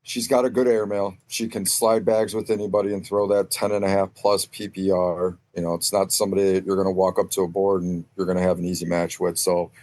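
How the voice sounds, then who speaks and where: A man's voice: low-pitched, little pitch movement, brisk, hardly any pauses, a little mellow. A man giving casual sports analysis as a guest on a podcast.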